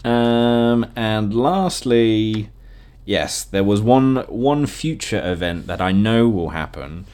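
A man's voice: on the low side, slow, darkish tone, clean tone. A man hosting a podcast in a casual, chatty solo style, with a jokey manner. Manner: jokey, laughs often at own remarks; acts out imagined quotes. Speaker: podcast host.

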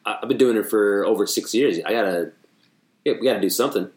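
Man, thirties, low voice, playful punning comedian host, roomy echo. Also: fast talker, darkish tone. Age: 30s. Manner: playful, riffs and makes puns. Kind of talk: casual podcast chat. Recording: echoey room.

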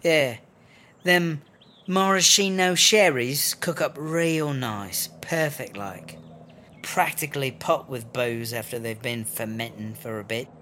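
A man's voice: medium pitch, slow, bright, forceful tone, slight background noise. A man playing a crafty, foul-mouthed female prison wine brewer with a British accent, chummy and wheedling, in a comedy audio drama. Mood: chatty, sly.

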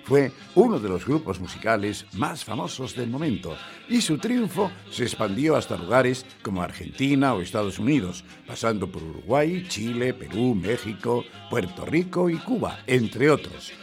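Actor as a lively-toned radio quiz announcer, male. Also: medium pitch, moderate pace, soft background music. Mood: formal, presenting. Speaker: radio quiz show host. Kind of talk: radio theatre quiz show presenting.

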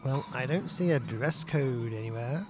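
A man's voice: medium pitch, moderate pace, a little mellow, a little hiss or hum, close to an everyday voice. A man playing a sly, bossy, cheeky schoolboy in an amateur audio drama. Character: Pete, scheming cheeky schoolboy pal in trunks.

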